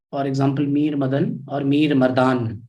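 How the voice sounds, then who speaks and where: A man with an Indian English accent giving a live online history lecture. He speaks quietly and in a measured way, and he reads out comments from his students. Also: muffled recording. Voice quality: low, soft voice. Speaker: history teacher.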